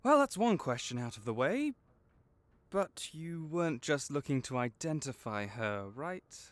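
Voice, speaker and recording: A man playing a gentle, caring vet for magical creatures in an audio roleplay, speaking in a measured, reassuring, explanatory way. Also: on the high side, big pitch swings. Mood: knowledgeable, assured. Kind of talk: soft-spoken one-sided comfort roleplay.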